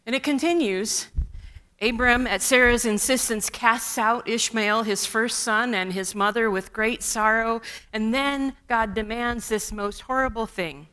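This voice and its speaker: A woman preaches a sermon in a slow, deliberate way, dropping in wry asides. Her first language is Russian. Her voice is bright and sits a little high. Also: clear, resonant tone, rough recording. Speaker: pastor.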